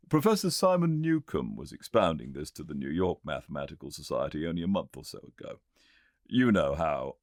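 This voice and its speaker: A man voicing a Victorian gentleman inventor with a British accent, lecturing eagerly and confidently in an emphatic audiobook performance. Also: low voice, no echo, clean studio sound, heavily acted. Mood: expounding. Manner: emphatic, didactic, pauses to make points. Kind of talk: audiobook character dialogue.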